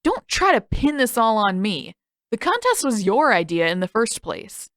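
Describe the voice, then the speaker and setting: A woman's voice: a little high, sing-song, moderate pace, a bit bright, no room sound, studio quality, pitched above the performer's own voice. A woman voicing a sarcastic, complaining teenage boy who grumbles through a story reading. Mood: argumentative.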